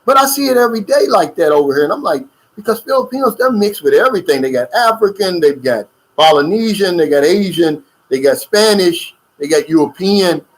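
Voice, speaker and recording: Chatty middle-aged man, slightly high voice. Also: expressive, brisk, few pauses, low-quality recording. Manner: loose, chatty, drawling with fillers and asides. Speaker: YouTube channel host. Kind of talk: casual livestream chat reading viewer comments. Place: lives in the Philippines.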